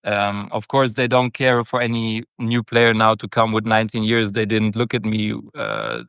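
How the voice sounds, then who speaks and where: A young man from Germany answers interview questions in a low, gravelly voice. He talks casually and hesitantly, with plenty of pauses and restarts, in a dry room. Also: lively intonation, fairly bright tone, no echo. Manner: hesitant, many fillers and restarts. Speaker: interview guest, football captain.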